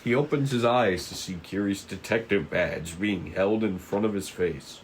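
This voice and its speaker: A man's voice: on the low side, normal speed, slight background noise, rough recording. A man narrating stage directions at a casual script table read, informal and stumbling now and then.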